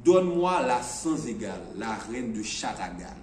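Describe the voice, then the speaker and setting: A man voicing a wise, demanding storybook mage in a declamatory, deliberate manner. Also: on the low side, sing-song. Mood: insistent demand. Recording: echoey room, distant mic, faint noise.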